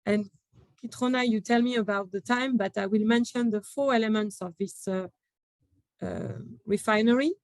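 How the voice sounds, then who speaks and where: A woman's voice: mid-range voice, normal speed, dry recording, low-quality recording. A woman from France with a French accent, speaking on a conference panel with many fillers and restarts.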